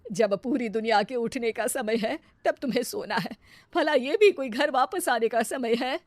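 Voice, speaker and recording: A woman's voice: on the high side, normal speed, dry recording, natural voice. A woman voicing a stern, disapproving older mother-in-law in a cartoon story, scolding and reproachful. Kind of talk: cartoon moral-story dialogue.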